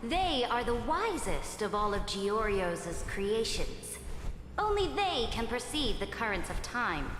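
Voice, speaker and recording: A woman's voice: a little high, very animated, slow, a little hiss or hum, exaggerated voice. A woman playing a proud, commanding female emperor in a game cutscene, imperious, resolute and formal, in echoing reverb with music. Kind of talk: scripted game cutscene acting. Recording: echoey hall reverb under loud music.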